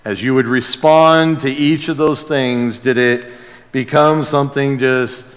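Male preacher, sermon delivery, slow measured pace, earnest, dynamic emphasis, reverberant hall. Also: mid-range voice, steady tone. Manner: earnest, rhetorical questions, swelling from hushed to emphatic. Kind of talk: sermon and prayer to a congregation. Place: large church room or hall.

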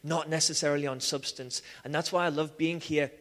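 A man from Liverpool with a Liverpool accent, preaching a sermon with personal testimony in an animated way, full of restarts and "um"s. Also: a little high, a little fast. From England. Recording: long hall reverb.

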